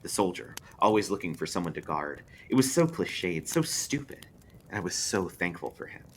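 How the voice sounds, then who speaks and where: Low-voiced, animated male storyteller. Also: moderate pace, slight background noise. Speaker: librarian reading aloud. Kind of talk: expressive scripted story narration.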